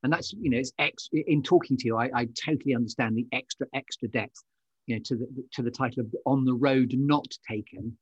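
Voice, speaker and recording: A man's voice: medium pitch, a little fast, slightly rough, dry recording. A man hosting a conversational interview podcast, encouraging his guest with wordy, circling questions, on a dull lo-fi recording.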